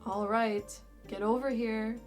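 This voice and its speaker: A woman's voice: on the high side, steady tone, slow pace, a little mellow, faint music behind. A woman playing a blunt, sardonic young female dragon in a fan fiction audio drama, brusque and casual in manner. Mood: dry, dismissive.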